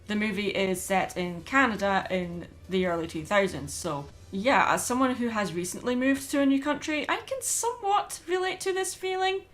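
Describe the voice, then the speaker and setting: A woman narrating a scripted film review as host, with an expressive, opinionated delivery. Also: mid-range voice, moderate pace, few pauses. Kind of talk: scripted video essay narration. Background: background music under voice-over.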